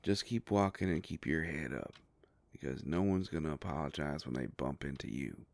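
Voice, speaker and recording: A man playing a kindly, protective werewolf private investigator in an intimate audio drama, with a gravelly voice and an easygoing, folksy way of talking. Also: extremely low pitch, moderate pace, darkish tone, dry recording. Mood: reassuring, businesslike.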